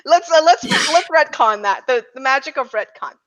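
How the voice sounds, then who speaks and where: A woman's voice: high voice, expressive, normal speed, a bit bright. A woman chatting casually as a podcast panelist, speaking emphatically and repeating phrases for stress. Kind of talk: casual roundtable chat.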